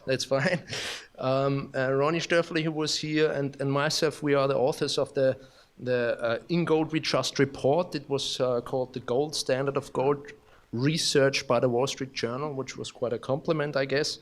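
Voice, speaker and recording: A man's voice: mid-range voice, fairly even intonation. Austrian-accented man giving a conference keynote. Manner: halting, many restarts and fillers, self-deprecating humor. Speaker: keynote speaker. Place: conference hall.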